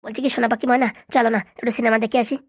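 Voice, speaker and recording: A woman's voice: on the high side, level tone, rapid-fire, no echo, studio quality, lightly acted. A woman voicing a lovestruck, henpecked husband in a comedy cartoon, coaxing and melodramatic. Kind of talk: amateur comedy cartoon dialogue.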